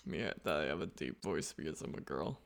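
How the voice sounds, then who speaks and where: A young woman with a deep voice co-hosting a casual family podcast, talking in a hesitant, halting way. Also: creaky. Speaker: podcast co-host, daughter.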